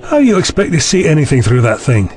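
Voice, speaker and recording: A man's voice: fairly high pitch, sing-song, quick pace, a little mellow, soft background music. A man voicing a sardonic, skeptical young official in an audio drama, dry and sarcastic.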